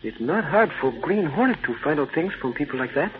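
Man playing a high-voiced, eager valet sidekick. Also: animated, brisk, close to an everyday voice. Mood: eager, curious. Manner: clipped broken English, polite. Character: deferential, eager valet and crime-fighting sidekick. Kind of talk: old-time radio drama acting. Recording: tinny old radio recording, slight hiss.

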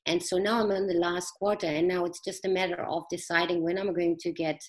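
A middle-aged woman with a fairly low voice talks as a guest on a podcast. She explains things earnestly from her own experience, pausing and feeling for words as she goes. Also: level tone. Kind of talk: conversational personal storytelling.

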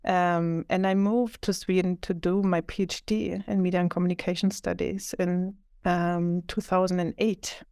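A woman, answering interview questions as an author in a thoughtful, measured way with frequent fillers. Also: on the low side, level tone, darkish tone, clean tone, no echo, studio quality. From Germany. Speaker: author, media scholar.

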